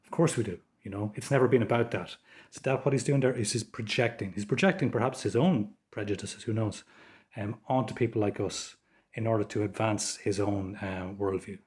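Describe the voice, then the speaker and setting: An Irish man with an Irish accent giving informal political commentary as a host, in a measured, explanatory way. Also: fairly low pitch, a little mellow. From Ireland.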